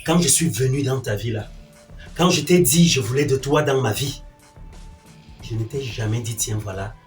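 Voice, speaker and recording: A man's voice: high-pitched, very animated, normal speed. A man acting as an exasperated, domineering middle-aged father in a heated domestic drama, indignant and commanding, repeating his questions and orders. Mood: stern, aggrieved. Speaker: actor. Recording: roomy echo, distant mic, music.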